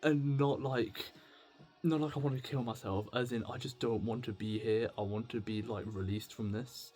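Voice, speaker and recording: A young British man talking casually to camera in a confessional vlog, rambling, halting and self-deprecating. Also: mid-range voice, fairly even intonation, a little mellow, soft background music. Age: young adult. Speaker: video blogger. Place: indoors at home with music on in the background.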